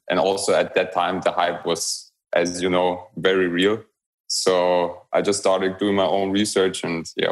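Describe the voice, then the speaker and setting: A young man from Romania speaks in a very low voice. He talks as an interview guest, relaxed and halting, with fillers and small restarts. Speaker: guest, blockchain company growth lead. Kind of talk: remote interview conversation.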